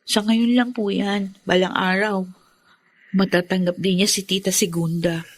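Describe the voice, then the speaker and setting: An actress playing a gentle young adult daughter who tries to keep the peace in her family, in a radio drama. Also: mid-range voice, level tone, unhurried, close to an everyday voice. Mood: soothing. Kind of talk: Filipino radio drama acting.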